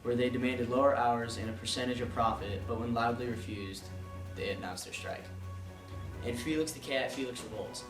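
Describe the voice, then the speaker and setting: A man's voice: mid-range voice, moderate pace. Distant, echoey male reporter. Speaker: news correspondent. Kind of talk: scripted news report reading. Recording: distant mic, echoey room, music underneath.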